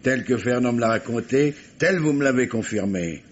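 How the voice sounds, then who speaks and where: A man's voice: medium pitch, expressive, normal speed, close to an everyday voice. Guy acting crafty old-radio police commissioner, repeats himself then gets bossy, very up and down voice, muffled sound. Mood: methodical probing. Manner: hesitant, repetitive phrasing that turns commanding. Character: wily, insistent police commissioner interrogating a suspect. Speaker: actor in a radio play. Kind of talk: 1950s French radio mystery acting. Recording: muffled vintage radio recording, faint noise.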